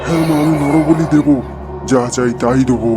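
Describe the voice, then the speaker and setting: Male actor as cartoon tantric sorcerer, menacing, slow ritual intonation, slightly high male voice, loud music. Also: over a noisy crowd, natural voice. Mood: reverent, eager. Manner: slow, drawn-out ritual chanting and commands. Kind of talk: chanted incantations and cartoon drama acting.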